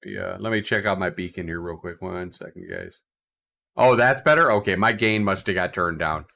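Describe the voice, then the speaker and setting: A man streaming a game from his recliner at home, reading out viewer comments and answering them in a relaxed, rambling way with lots of fillers and restarts. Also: low-pitched, moderate pace, vocal fry. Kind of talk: casual livestream chat, answering viewer comments. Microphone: desk mic, sometimes too far from it.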